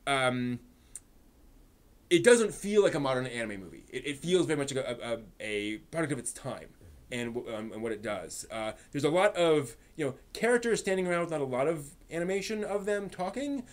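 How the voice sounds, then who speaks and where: A man with a voice on the higher side hosts a casual review stream. He explains things conversationally, doubling back on words and tossing in emphatic asides. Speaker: host reviewing anime on a live stream.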